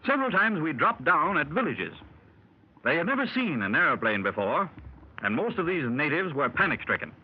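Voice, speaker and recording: A genteel middle-aged explorer who tells his adventure to gentlemen at a club in polished, formal phrases. His voice is a little high and swoops up and down expressively. It comes through a dull, muffled 1930s radio transcription with faint hiss. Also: very animated, moderate pace, husky. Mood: measured. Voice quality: formal, clubby storyteller. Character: learned explorer Doctor Roberts, middle-aged man. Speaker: explorer recounting his expedition. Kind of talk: 1930s radio drama acting.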